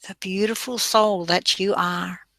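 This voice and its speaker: A woman leading a guided meditation in a slow, soothing voice that drifts into soft breathy passages. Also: mid-range voice, fairly even intonation, no room sound.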